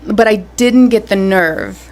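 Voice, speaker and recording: A woman's voice: medium pitch, unhurried, darkish tone. A woman in her twenties, a stage actress and guest, chatting casually, friendly and laughing easily as she tells stories. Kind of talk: casual interview chat.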